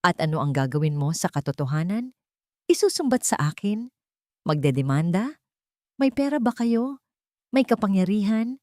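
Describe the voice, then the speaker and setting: A woman's voice: on the low side, mellow, no room sound, close to an everyday voice. Woman narrator as arrogant rich aunt, menacing, mocking, storybook villainess, close dry sound. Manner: threatening, mocking, condescending.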